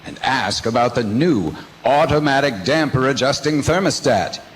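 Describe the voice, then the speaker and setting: A man's voice: medium pitch, lively intonation, normal speed, long reverb tail, slight background noise, lightly acted, low-quality recording. A man as an earnest 1940s radio commercial announcer, speaking persuasively and instructively. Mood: earnest selling. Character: earnest male vintage radio pitchman.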